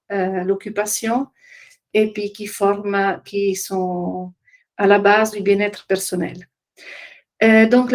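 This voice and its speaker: Middle-aged lady w/ presenting her work, medium pitch, kinda hesitant, hunting for words. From Italian-speaking Switzerland. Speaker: occupational therapist, trainer and business founder presenting. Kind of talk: informal web conference presentation.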